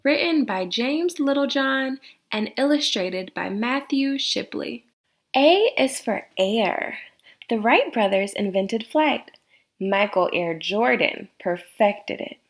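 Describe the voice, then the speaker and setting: A woman reading a children's picture book aloud in deliberate, separated phrases. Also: fairly high pitch, expressive.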